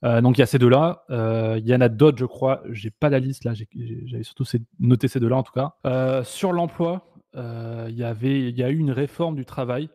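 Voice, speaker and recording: A man's voice: medium pitch, a little fast, hardly any pauses, dark tone, dry recording, studio quality. A man hosting a casual political talk, hesitant and reading from his notes with many fillers. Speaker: show host.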